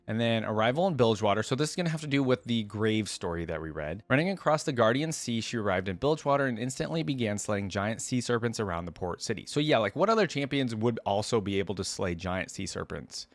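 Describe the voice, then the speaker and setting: A man's voice: lowish voice, lively intonation, fast talker, hardly any pauses, dry recording. A man hosting a reaction video, casually reading aloud and musing with his own commentary.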